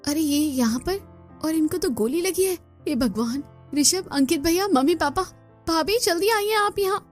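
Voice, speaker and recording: High-pitched melodramatic young bride, acted by a woman. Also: normal speed, darkish tone, faint music behind, close to an everyday voice. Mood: alarmed. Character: distressed young runaway bride, pleading and determined. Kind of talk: dramatized Hindi audio story acting.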